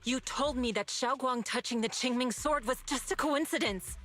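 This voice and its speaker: Earnest, higher-voiced martial master. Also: female voice, normal speed, fairly bright tone. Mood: troubled disbelief. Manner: earnest, questioning. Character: earnest female martial master, troubled and questioning. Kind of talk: dramatic video game voice acting. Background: music.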